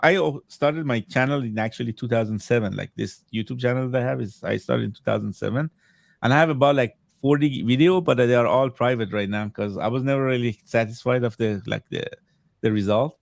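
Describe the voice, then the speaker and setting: A man from Iran whose native language is Farsi, chatting casually as a podcast guest in halting, repetitive English. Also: mid-range voice, gravelly, dry recording, clean studio sound. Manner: halting, repetitive phrasing as a second-language speaker. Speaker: podcast guest, video game collector. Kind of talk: casual chat.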